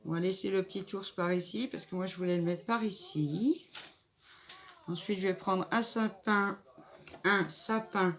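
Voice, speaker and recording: A woman's voice: mid-range voice, unhurried, a bit bright. A woman with a cold and a nasal voice, chatting casually as she makes a table decoration and thinks aloud. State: stuffy nose from a cold. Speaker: craft vlogger. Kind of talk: casual crafting tutorial.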